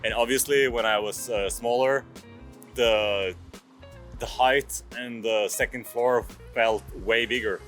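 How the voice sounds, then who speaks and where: A man in his thirties from France, narrating a travel vlog in a casual, halting way. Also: medium pitch, fairly even intonation, a bit bright, soft background music. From France, moved to Norway at six. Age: 30s. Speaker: vlogger.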